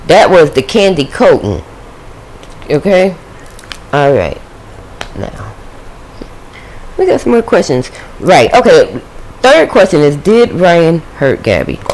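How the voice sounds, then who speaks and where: A woman's voice: low-pitched, a little mellow, slight background noise, low-quality recording. A woman doing a casual tarot reading at a home table, chatting to the spirit and her viewers. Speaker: tarot reader.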